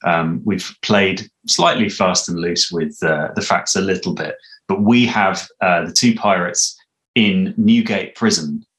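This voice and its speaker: A British man in his thirties giving a casual interview, halting as he searches for words. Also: low voice, a bit bright. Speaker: interviewed audio drama creator and composer. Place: small room indoors. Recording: echoey room on video call.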